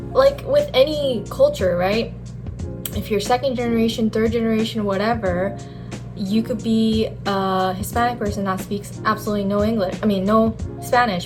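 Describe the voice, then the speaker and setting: A young adult woman, born in Japan with Japanese as her first language, talking candidly and chattily in a casual vlog about her life, often saying "like". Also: mid-range voice. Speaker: vlogger, handywoman. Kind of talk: casual reflective monologue. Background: background music.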